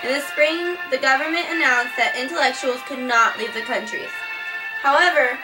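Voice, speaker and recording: A woman narrating a scripted first-person history story, reading it plainly and earnestly. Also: sits high. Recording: distant mic with faint background music.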